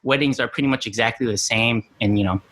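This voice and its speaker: A man's voice: mid-range voice, fast talker, a bit bright, husky. A young man from New Mexico, a guest on a podcast interview, talking casually with lots of "you know" and easy laughs. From New Mexico, USA. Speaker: guest, content creator.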